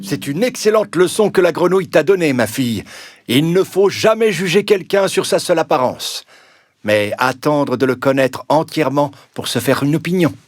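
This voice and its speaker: A man's voice: on the high side, expressive, normal speed. Man playing a stately, fatherly storybook king. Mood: wise, approving. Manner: didactic, stately. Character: wise fatherly king giving advice. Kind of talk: children's storybook acting. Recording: music under the voice.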